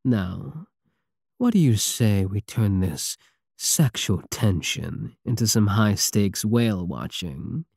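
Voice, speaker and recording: A man's voice: on the low side, animated, slow pace, gravelly, darkish tone, slightly rough, dry recording, studio quality, heavily acted. A man playing a swaggering, obsessive space pirate king in an intimate ASMR roleplay, flirtatious and menacing with a teasing, theatrical drawl. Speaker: audio roleplay voice actor.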